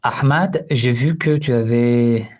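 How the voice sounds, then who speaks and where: Slow, deliberate man, hosting an online religious Q&A; patient explainer, hesitant fillers. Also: medium pitch, darkish tone. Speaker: Islamic Q&A host. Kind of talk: live online Q&A answering listeners.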